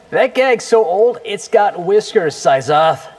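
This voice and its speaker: An actor playing a cocky, determined young warrior in a video game, casual and wry in delivery. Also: male voice, high-pitched, animated, slow, soft background music. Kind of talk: video game character dialogue.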